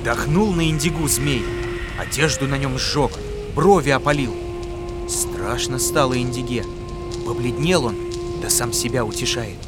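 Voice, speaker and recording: A man narrating a folk fairy tale with a measured, expressive reading. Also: mid-range voice. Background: music.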